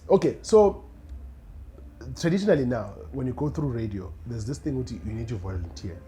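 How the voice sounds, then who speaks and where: A young man with a South African accent, a radio producer chatting casually as a podcast guest, animated and quick to laugh, often restarting his sentences. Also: mid-range voice, a little hiss or hum. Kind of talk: casual interview chat.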